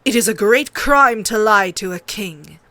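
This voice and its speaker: A woman's voice: mid-range voice, measured pace, pushed and bright, no room sound. A woman playing an irritable, commanding bearded king in a fantasy audiobook. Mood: stern.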